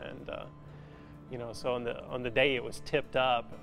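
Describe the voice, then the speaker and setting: Gravelly, even-toned man in thoughtful interview. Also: mid-range voice. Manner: halting, thoughtful, filler-heavy casual speech with many "you know"s. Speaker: metal sculptor being interviewed. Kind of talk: reflective documentary interview. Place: large indoor metal workshop. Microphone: lapel mic. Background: instrumental music.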